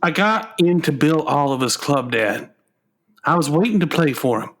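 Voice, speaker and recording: A man acting in a comic radio play as an earnest, anguished grown son and frustrated musician, halting and melodramatic. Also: a little high, lively intonation, a bit bright. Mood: reluctant, confessional.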